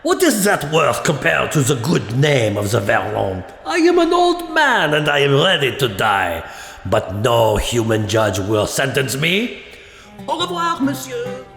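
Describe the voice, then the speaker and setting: An actor playing a loyal old French servant, grave and proud, with a gravelly French accent in a radio drama. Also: male voice, medium pitch, huge pitch swings, a little slow, fairly bright tone, reverberant, long reverb tail, faint music behind, heavily acted. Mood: defiant.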